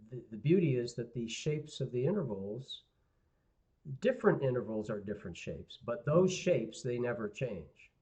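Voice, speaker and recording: Male guitar teacher, hoarse and raspy, unhurried friendly delivery, muffled livestream sound. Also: mid-range voice. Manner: relaxed, rambling, friendly asides to viewers. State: hoarse, clearing throat often. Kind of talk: live online lesson, casual talk. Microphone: computer mic on a live stream. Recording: dull lo-fi livestream audio.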